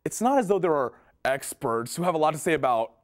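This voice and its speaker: Animated, flippant student, played by a woman. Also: low voice, fast. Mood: puzzled, protesting. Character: flippant, puzzled student questioning the rules. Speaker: readers theater performer. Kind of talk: scripted instructional stage dialogue.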